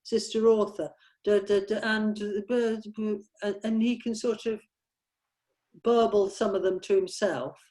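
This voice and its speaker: A woman's voice: medium pitch, fairly even intonation, measured pace, dark tone. A woman discussing a script informally, tentative and circling round her point as she explains it. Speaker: member of a script team.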